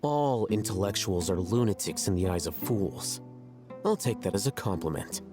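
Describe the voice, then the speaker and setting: A man playing a cool, arrogant young scholar in a video game, deadpan, measured and condescending. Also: fairly low pitch, over music, natural voice. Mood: smug, dry. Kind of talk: video game character acting.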